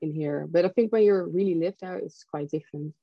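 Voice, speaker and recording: A woman's voice: lowish voice, brisk, no room sound, lo-fi sound. A young woman, chatting casually as an interview guest. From Netherlands. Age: young adult. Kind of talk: casual chat.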